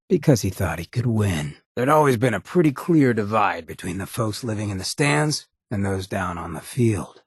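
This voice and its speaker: Casting: roguish ghoul game companion, heavily rasping low voice, streetwise, blunt, loyal, slangy drawl. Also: male voice, no room sound, studio quality, exaggerated voice. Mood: grim, reflective. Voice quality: husky, gravelly rasp. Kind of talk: video game companion dialogue.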